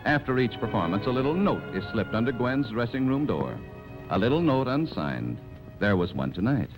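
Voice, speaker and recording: Man, 1940s radio announcer, very expressive medium-pitched voice, dramatic narration, music behind. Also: rough recording. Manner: dramatic, deliberate storytelling.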